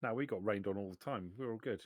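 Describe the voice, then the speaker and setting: A man with a deep voice plays a tabletop game, talking casually in character. His phrasing is short and laid-back, and the sound is close and dry. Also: fast talker, no room sound.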